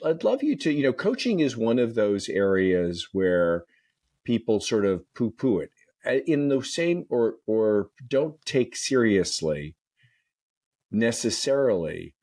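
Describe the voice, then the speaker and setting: A man's voice: on the low side, a little mellow. A man hosting a healthcare podcast, talking in a conversational way that often stalls on repeated words and restarts. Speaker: podcast host. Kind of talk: conversational interview.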